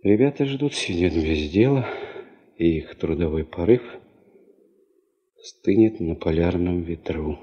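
A man's voice: deep voice, expressive, a little slow, long pauses, breathy edge, exaggerated voice. An actor playing a chatty, wry working man, once a sailor and prospector, with folksy mock-grandiose joking in a 1970s Soviet radio play. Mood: brisk, teasing. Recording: dull 1970s radio drama tape.

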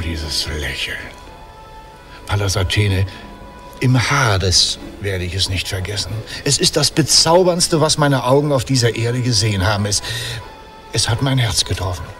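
An actor playing a sentimental aging Greek hero in a radio play, with a hesitant, poetic, declamatory delivery. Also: male voice, on the low side. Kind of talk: theatrical German radio drama acting.

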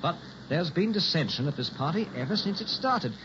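A man acts an indignant, wry young house guest in an old radio thriller. His fairly high voice swings about uneasily on a dull, noisy recording. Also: lively intonation, fairly quick, natural voice. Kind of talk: 1960s radio drama acting.

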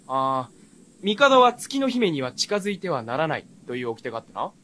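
A brash young servant in a period radio drama, cheeky and casual. The voice is high for a man and swoops around in a very animated way. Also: moderate pace. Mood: knowing, amused. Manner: casual, cheeky, rough speech. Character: brash, breezy, rough-spoken young male retainer.

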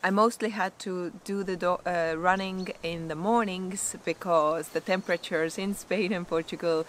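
A woman's voice: fairly low pitch, steady tone, brisk, a little hiss or hum. A woman in her thirties with an Estonian accent, talking casually and candidly to a camera she holds at arm's length outdoors, with plenty of fillers and self-mocking asides. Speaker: vlogger. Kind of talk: casual vlog talk to camera. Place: outdoors in a forest clearing.

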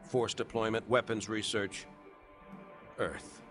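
A man playing a grave, steadfast middle-aged starship captain in a video game, speaking with measured military authority. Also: lowish voice, steady tone. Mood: grave, resolute. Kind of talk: dramatic video game acting. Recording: game audio under loud music.

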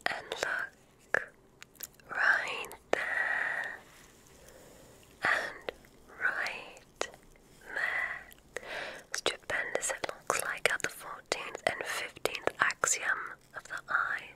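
Female ASMR roleplayer, kindly witch examiner: breathy whisper, very slow, deliberate and gently instructing. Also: poor audio.

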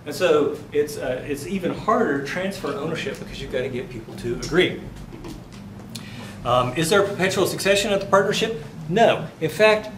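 A man in his fifties giving a live university finance lecture, chatty, asking the class questions and answering them himself. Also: a little high. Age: 50s. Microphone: far camera, no visible mic. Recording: roomy classroom, faint background noise.

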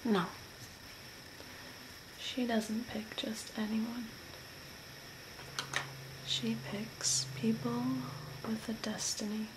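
A young woman whispering breathily and softly in a soothing ASMR role play, close to the mic as if giving personal attention. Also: fairly high pitch, flat delivery, extremely slow, pauses often. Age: young adult. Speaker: ASMR role-play performer, makeup artist. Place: small room at home, by a window. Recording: noisy home recording with ambient hiss.

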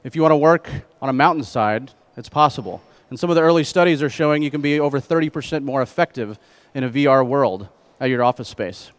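A middle-aged man presenting a conference keynote on stage through a headset mic, speaking in measured phrases. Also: medium pitch. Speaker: keynote speaker, trade association president.

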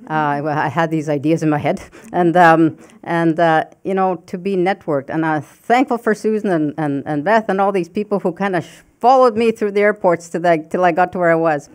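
Halting Cree-speaking woman panelist, smooth voice. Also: on the low side, darkish tone. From northern Saskatchewan, Canada. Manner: halting, many fillers and restarts. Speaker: panelist, political scientist. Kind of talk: panel discussion remarks. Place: conference stage. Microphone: desk microphone.

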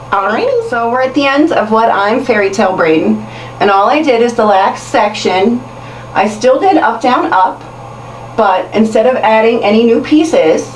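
Roomy, chatty woman giving a hair tutorial. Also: fairly high pitch. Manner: chatty, self-deprecating. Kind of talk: casual how-to instruction to viewers. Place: small tiled bathroom, indoors. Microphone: camera mic at a short distance. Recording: roomy, distant camera mic with hiss.

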